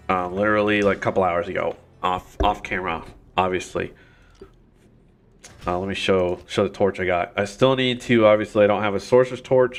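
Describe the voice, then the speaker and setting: A man streaming a video game at home while recovering from illness, his voice congested and nasal, rambling with many fillers and restarts. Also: on the low side. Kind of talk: casual gameplay commentary. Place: home gaming setup. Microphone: desk mic.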